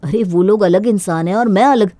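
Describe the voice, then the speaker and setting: A man's voice: sits high, a little fast, dark tone, no echo, close to an everyday voice. An actor plays a young husband in a cartoon moral tale. He is a caring, dutiful man with an earnest, concerned delivery. The recording is close and dry. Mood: reassuring. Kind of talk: Hindi cartoon moral story acting.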